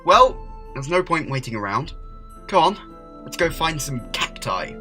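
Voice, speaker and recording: A man playing a distracted, wry, brooding time traveller in an audio drama, speaking haltingly. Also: on the high side, very animated, pushed and bright, soft background music, heavily acted. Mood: wry exasperation. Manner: halting, hesitant, full of uhs.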